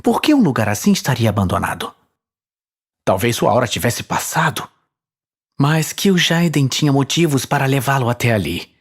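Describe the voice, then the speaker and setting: A man's voice: mid-range voice, sing-song, normal speed, slightly rough. A man with a Brazilian accent reading dramatic scripted fantasy narration as the storyteller.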